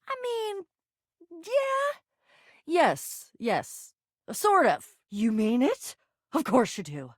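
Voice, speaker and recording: A plucky video game adventurer, a living cardboard box who sees herself as a noble thief. Her voice is high and swings widely in pitch, close and dry. She talks in grand, theatrical phrases that tumble into giddy, eager slang. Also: dry recording. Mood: sheepish, earnest. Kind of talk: animated game character dialogue.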